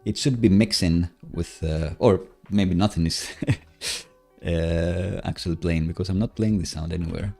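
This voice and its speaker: A man live-coding on a stream, casually thinking aloud in a hesitant, halting, self-musing way. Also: low voice, creaky. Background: soft background music, computer keyboard typing.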